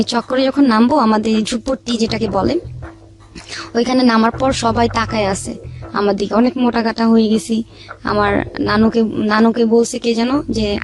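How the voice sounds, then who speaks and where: High-pitched young woman telling her story. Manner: colloquial, repeats phrases as she narrates. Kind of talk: personal confessional storytelling. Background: background music.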